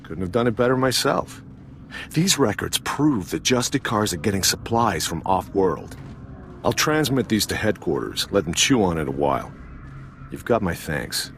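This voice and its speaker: An actor playing a gruff, matter-of-fact field operative in a video game. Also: male voice, on the low side, very animated, normal speed, a bit bright, a little hiss or hum. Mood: satisfied.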